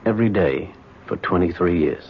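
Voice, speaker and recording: Through a dull, slightly noisy old radio recording comes a low-pitched middle-aged businessman. He is weary and evasive, repeating himself haltingly with earnest longing, in vintage radio drama style. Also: creaky. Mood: pleading, intense.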